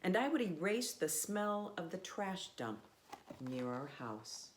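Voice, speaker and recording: A woman reading a children's storybook aloud in a measured, expressive way with pauses. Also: lowish voice, a little hiss or hum.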